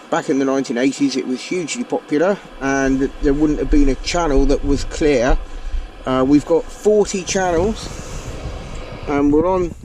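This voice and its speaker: British man vlogging over clear background noise. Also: medium pitch, darkish tone, rough recording. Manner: chatty, addresses viewers as folks. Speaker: vlog host. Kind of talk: casual hands-on gadget walkthrough. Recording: clear vehicle and ambient noise.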